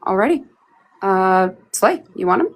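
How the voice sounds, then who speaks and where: A woman hosting a live stream, brisk and polite, cutting in with quick apologies to keep callers on topic. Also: medium pitch, animated. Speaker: host.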